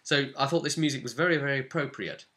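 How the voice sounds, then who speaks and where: A man with a bright, forward voice talks through a tabletop game session as game master. His delivery is casual and conversational, like a personal vlog, at a middling pitch. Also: fast talker.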